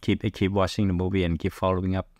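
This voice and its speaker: A middle-aged man speaks English with a Thai accent in a low voice. He gives casual interview answers, pausing and searching for his words. The sound is dry and close. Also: little pitch movement, a little mellow, no echo. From Thailand. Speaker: podcast guest, film director.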